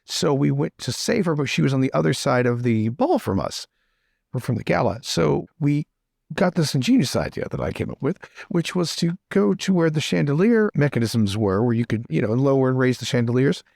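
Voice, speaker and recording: Man acting a excitable nobleman, rambling, emphatic, lively intonation, gravelly fry, improvised roleplay. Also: medium pitch, fairly quick, dry recording, studio quality. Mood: boastful.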